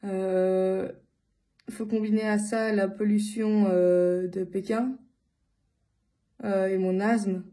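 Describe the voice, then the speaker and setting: A woman from Belgium giving a casual personal account to her followers, speaking in a halting way with many filled pauses. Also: medium pitch, level tone, mellow. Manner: hesitant, halting delivery with frequent long filled pauses. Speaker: vlogger addressing followers.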